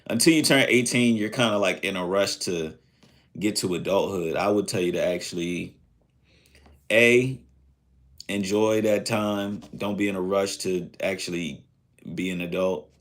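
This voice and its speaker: A man's voice: low voice, unhurried, fairly bright tone. A man in his thirties chatting casually on a live stream, rambling and reflective, with lots of fillers. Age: 30s.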